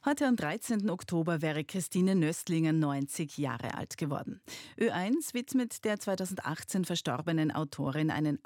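A woman radio anchor reading the morning news in a crisp scripted way. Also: sits low, darkish tone, dry recording, studio quality.

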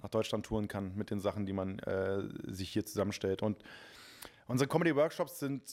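Austrian club host guy, lowish voice, explaining eagerly. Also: a little fast, a little mellow. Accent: Austrian German. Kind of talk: interview answer.

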